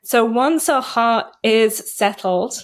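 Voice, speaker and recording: A woman in her fifties speaking as a therapist guest, explaining and guiding an exercise in a measured, instructive way. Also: a little high. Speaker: guest psychotherapist and trauma coach. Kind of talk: explanatory interview talk with guided exercise.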